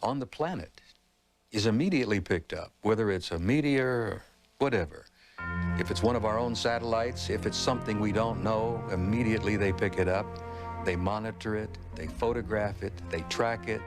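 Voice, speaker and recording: Low-voiced, emphatic male researcher. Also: normal speed, over music. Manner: emphatic, insistent repetition. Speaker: researcher being interviewed. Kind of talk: documentary interview.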